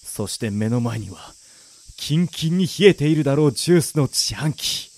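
A man playing a broke, grumbling, short-tempered young man in an amateur radio drama, with rough, casual speech. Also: medium pitch, expressive, moderate pace, darkish tone. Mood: weary, muttering.

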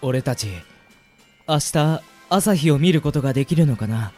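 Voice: mid-range voice, animated, moderate pace, dark tone, natural voice. An actor playing an anxious but slyly needling young man in a comedy radio drama. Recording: long reverb with background music.

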